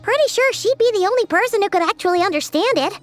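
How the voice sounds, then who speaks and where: A woman voicing a tiny, excitable floating companion who chatters about herself in the third person. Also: very high voice, fast talker, music in the background. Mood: flustered. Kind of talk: game cutscene voice acting.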